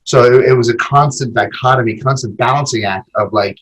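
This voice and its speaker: A man's voice: mid-range voice, a little fast, breathy edge, rough recording. A man in his forties, a podcast guest, talking casually about his past with lots of fillers and repeated words. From Argentina. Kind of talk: casual interview conversation.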